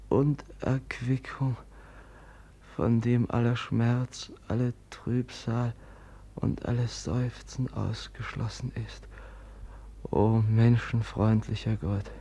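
Gravelly, slow, grief-stricken gentle prince. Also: male voice, medium pitch, a little hiss or hum. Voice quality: husky, gravelly, breathy. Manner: halting, solemn, hushed. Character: gentle, sickly Russian prince, shaken and grieving beside a corpse. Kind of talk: German literary radio drama acting.

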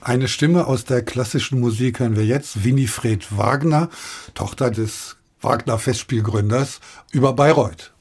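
Man, middle pitch, husky, interview host, moderating style. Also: a little slow, a little mellow. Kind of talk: moderating a radio discussion.

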